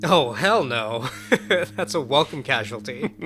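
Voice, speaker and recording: An actor playing a gruff, sarcastic but protective partner in an audio drama, talking in a blunt, sweary, dry way. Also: mid-range voice, lively intonation, takes it slowly, over music. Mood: sarcastic banter.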